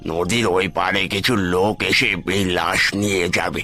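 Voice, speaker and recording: A man's voice: sits low, fairly even intonation, unhurried, bright, forceful tone, pitched below the performer's own voice, heavily acted. A man voicing a brusque, matter-of-fact village van driver in a horror cartoon story. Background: music.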